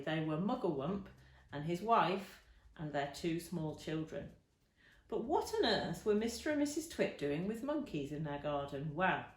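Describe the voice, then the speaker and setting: Echoey woman reading a children's story. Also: lowish voice. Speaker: storybook reader. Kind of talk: expressive children's story narration. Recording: roomy, echoing indoor sound.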